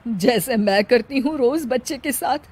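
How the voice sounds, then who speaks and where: A woman's voice: a little high, moderate pace, very noisy, lightly acted. A woman voicing a nagging old mother-in-law. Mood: scolding. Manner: scolding, complaining. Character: older mother-in-law, nagging, sharp-tongued.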